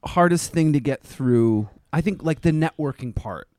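A man's voice: a little high, lively intonation, normal speed, darkish tone, no room sound, studio quality. A man hosting an interview, eagerly asking follow-up questions and jumping in often. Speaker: interview host. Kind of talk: casual interview chat.

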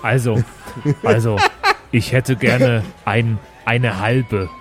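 A man's voice: medium pitch, steady tone, slow pace, soft background music. A man playing a tabletop game, chatting casually and improvising with jokey, playful banter.

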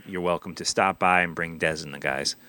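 A man's voice: sits low, fairly even intonation, a little fast, pushed and bright, no room sound. A man hosting a metal podcast, asking an interviewee questions in a friendly, upbeat fan manner.

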